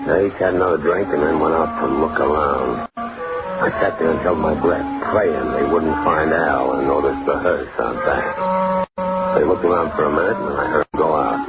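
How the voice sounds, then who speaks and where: Tinny vintage radio with loud music: low, nervous noir schemer, jumpy and stammering. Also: male voice. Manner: jumpy, stammering when questioned. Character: nervous scheming crematorium man hiding stolen money. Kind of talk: 1950s radio noir acting, first-person narration.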